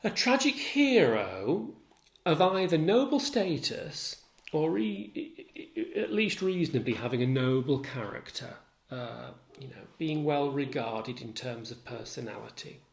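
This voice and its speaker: Slow, deliberate male tutor in echoey room. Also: medium pitch, big pitch swings, vocal fry. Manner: deliberate, formal, precise wording. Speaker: English literature tutor. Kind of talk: explanatory lecture with asides. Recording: echoey, roomy sound.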